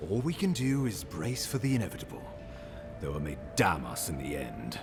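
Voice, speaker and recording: A formal, fretful ambassador in a fantasy game. His low voice moves dramatically up and down with exasperation, set over music. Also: very animated, moderate pace. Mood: resigned, brisk. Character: beleaguered male imperial ambassador, formal, fretful and resentful. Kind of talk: video game character acting.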